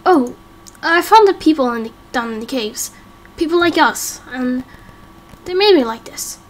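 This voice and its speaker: A woman doing amateur voice acting for a game roleplay story, with a hesitant, halting dramatic delivery. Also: high voice, animated.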